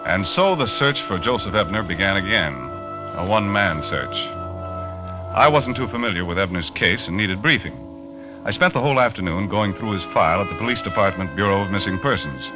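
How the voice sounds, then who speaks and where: A man's voice: low-pitched, lively intonation, fairly quick. An actor playing a matter-of-fact American magazine writer, narrating his investigation in an old radio drama. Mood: businesslike, curious. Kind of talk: 1940s-50s radio drama first-person narration. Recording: muffled vintage radio recording with music.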